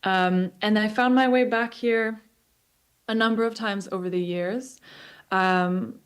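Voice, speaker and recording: Twenties woman talking over a video call, clear smooth voice, lots of ums, thoughtful. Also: medium pitch, steady tone, slow. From Israel, raised in the US. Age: 20s. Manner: thoughtful, many ums and restarts. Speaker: guest speaker. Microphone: remote video call.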